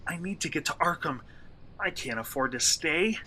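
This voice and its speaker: A man's voice: a little high, animated, normal speed, pushed and bright, a little hiss or hum. An actor playing a brash, uneasy young male vlogger in a radio play, talking in a slangy, sarcastic, theatrical way. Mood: dismayed. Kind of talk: radio play acting, first-person monologue.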